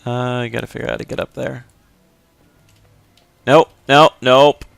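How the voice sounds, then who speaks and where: Joking, gravelly-voiced young man. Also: medium pitch, normal speed, soft background music. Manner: joking, offhand, excitable. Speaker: let's play host. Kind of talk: casual gameplay commentary.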